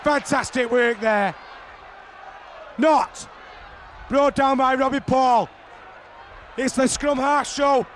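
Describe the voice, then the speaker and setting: A man giving excited play-by-play commentary on a rugby league match, often shouting over the action. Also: very high-pitched, takes it slowly, long pauses. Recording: archive broadcast with crowd noise.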